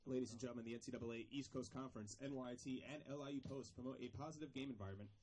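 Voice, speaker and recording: A man making formal, measured stadium announcements, reading out names and numbers over a public address system. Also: mid-range voice, a little mellow. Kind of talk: formal scripted lineup announcement. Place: outdoor soccer stadium, over loudspeakers. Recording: distant stadium PA pickup.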